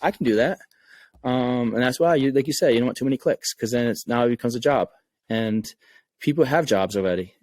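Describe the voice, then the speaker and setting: A man's voice: mid-range voice, brisk, a little mellow, dry recording. A man with a Rhode Island accent, a game creator talking casually and earnestly on a podcast, often repeating words as he thinks. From Rhode Island, USA.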